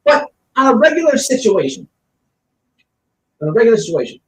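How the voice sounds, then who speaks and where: A man's voice: very high-pitched, sing-song, fairly quick. A man giving blunt, casual, opinionated commentary, emphatic and repeating his phrases as he explains with examples. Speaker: online streamer giving commentary. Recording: roomy, distant mic with faint noise.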